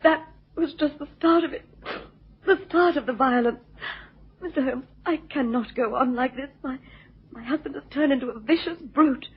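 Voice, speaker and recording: A woman's voice: high-pitched, slightly rough, full character voice. An actress playing a distressed, genteel wife in a radio drama, hesitant and pleading as she seeks help. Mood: desperate. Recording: muffled lo-fi radio recording.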